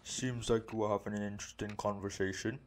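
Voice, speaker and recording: A man playing a calm, protective orc head of security, speaking in a measured, reassuring way in an audio roleplay. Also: lowish voice, background noise, natural voice. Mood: calm, knowing. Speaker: voice actor. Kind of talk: intimate audio roleplay acting.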